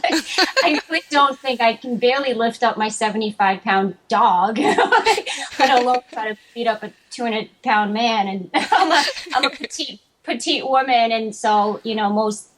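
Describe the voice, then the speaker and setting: A woman guest in a casual interview, soft-spoken, laughing often and restarting her sentences. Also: fairly high pitch, animated, normal speed, background noise.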